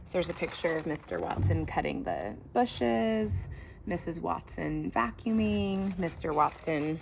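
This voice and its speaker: A woman's voice: fairly low pitch, big pitch swings, measured pace, vocal fry, darkish tone, a little hiss or hum. A woman reading a picture book aloud as a teacher would, expressively, with casual asides to the listeners.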